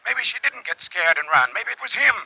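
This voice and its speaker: A man's voice: high-pitched, sing-song, brisk, gravelly, slightly rough, heavily acted. An actor playing a worried, agitated small-town father searching for his daughter in a 1950s radio drama, hesitant as he talks. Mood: frantic. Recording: muffled old radio recording.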